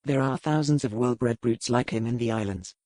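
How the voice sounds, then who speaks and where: A man acts out a gallant pirate lord from a historical romance. He is self-assured and formal in his phrasing. The sound is dry and close, with a medium pitch. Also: normal speed, warm tone, no echo, natural voice.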